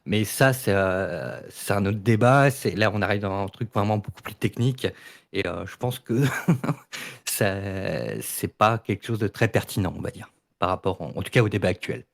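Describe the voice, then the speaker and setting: Homme à voix assez grave, explicatif. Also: male voice, on the low side, expressive, moderate pace, creaky. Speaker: debate participant. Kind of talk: casual unscripted discussion.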